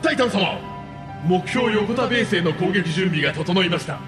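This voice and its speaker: An obsequious henchman of an evil empire. He is fanatical and theatrical, swooping around a high pitch. Music plays behind in this radio drama. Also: expressive, moderate pace, echoey room, big-room sound. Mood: gleeful devotion.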